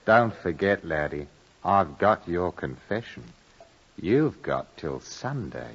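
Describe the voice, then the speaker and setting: An actor playing a shrewd, blunt baker who drives a bargain in a dry, matter-of-fact way, in old-time radio drama style. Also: male voice, low voice, animated, takes it slowly, full character voice. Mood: pressing. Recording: muffled vintage radio recording.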